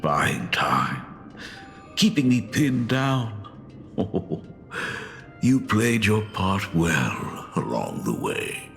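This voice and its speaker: A man voicing a sinister, mocking impostor in a video game, with a gravelly voice and a slow, theatrical, taunting delivery. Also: deep voice, big pitch swings, a bit bright, soft background music, full character voice. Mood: taunting, menacing. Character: sinister male impostor posing as a father, mocking and smooth.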